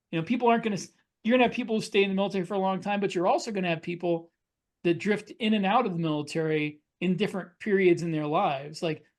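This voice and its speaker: A middle-aged man co-hosting a casual panel discussion, explaining his opinions at length. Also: high voice. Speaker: podcast co-host.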